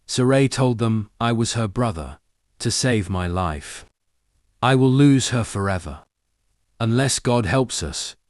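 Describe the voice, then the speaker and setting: An actor playing a devout, patient patriarch in an audio Bible drama, speaking in a measured, solemn way. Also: male voice, medium pitch, lively intonation, dry recording, clean studio sound, lightly acted. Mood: troubled. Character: patient, devout patriarch, earnest and authoritative man.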